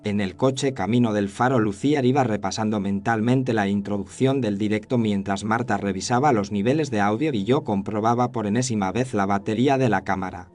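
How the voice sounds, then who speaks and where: A man's voice: lowish voice, fairly quick, hardly any pauses, darkish tone. A man reading a scripted first-person horror story as its narrator. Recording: clear noise and ambience behind voice.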